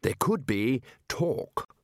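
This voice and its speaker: An elderly head wizard from a comic fantasy storybook, acted out by a man. He is bossy and pompous, forever exasperated with his colleagues. His pitch rises and swoops as he takes charge, in a very dry recording. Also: sing-song, slow pace, vocal fry, no room sound, heavily acted. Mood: urgent, embarrassed. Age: older.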